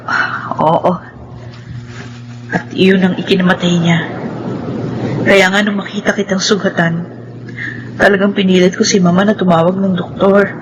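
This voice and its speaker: An actress playing a lovelorn, fragile young heiress in a Filipino radio drama, speaking tenderly and earnestly. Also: female voice, medium pitch, level tone, moderate pace, pauses often, highs cut off, background noise, lightly acted. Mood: earnest, wistful. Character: lovelorn, fragile young heiress grieving her lost fiance.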